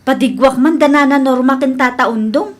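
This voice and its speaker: A woman's voice: high voice, normal speed, natural voice. A woman playing a kindly, thrifty village wife in an Ilocano comedy radio drama, with an Ilocano Filipino accent. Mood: generous, cheerful.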